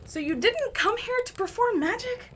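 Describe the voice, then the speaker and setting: An actress playing a wry, excitable young woman adventurer in an audio drama. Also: very high-pitched, normal speed, background noise. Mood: curious.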